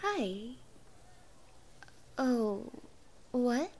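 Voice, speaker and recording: A woman's voice: a little high, sing-song, extremely slow, a little mellow, heavily acted. A woman playing a shy first-year college girl who is new to goth, in a casual ASMR roleplay. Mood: shy, earnest.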